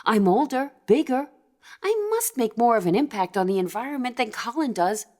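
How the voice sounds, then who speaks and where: A woman's voice: a little high, very animated, normal speed, darkish tone. A woman playing a distraught, pleading middle-aged mother in an audio drama, her delivery emotional and faltering.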